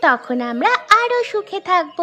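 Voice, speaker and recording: A woman's voice: very high-pitched, very animated, normal speed, bright, forceful tone, faint music behind, full character voice. A woman voicing a sweet, trusting little village daughter in a cartoon drama. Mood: happy, hopeful.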